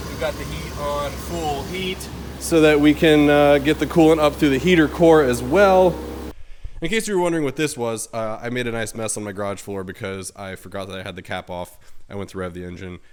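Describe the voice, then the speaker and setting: A man's voice: a little high, fairly even intonation, normal speed, a little hiss or hum. A young man with a head cold and a congested voice, chatting casually as host of his car channel in his home garage, rambling and poking fun at himself. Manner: chatty, self-deprecating, rambling with fillers. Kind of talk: casual garage vlog talk.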